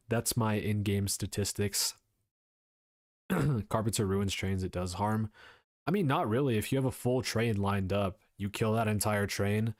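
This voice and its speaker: A young man talks casually on a live stream, rambling through his points, answering chat and dropping the odd swear. His voice sits on the low side. The sound is dry and close, with no room around it. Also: no room sound.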